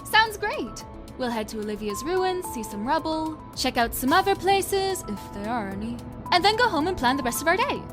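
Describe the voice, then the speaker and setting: A woman's voice: high-pitched, sing-song, moderate pace, music in the background. A woman playing a vain, carefree young woman in an audio drama, with a breezy, teasing, theatrical delivery. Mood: breezy, smug.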